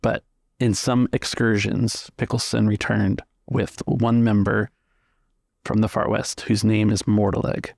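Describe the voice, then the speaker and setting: A man's voice: lowish voice, little pitch movement, unhurried, vocal fry, darkish tone, dry recording, clean studio sound. A man narrating a tabletop roleplaying game as its game master.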